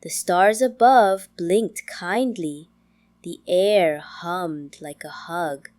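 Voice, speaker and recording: A woman narrating a children's bedtime story in a calm, gentle manner, with frequent pauses between short phrases. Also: fairly low pitch. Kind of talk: slow, soothing scripted bedtime storytelling for toddlers.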